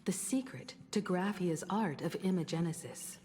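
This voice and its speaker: An actress playing a gentle, dutiful young woman in a video game, speaking formally, calmly and earnestly. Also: fairly low pitch, moderate pace, lightly acted. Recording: music behind the voice.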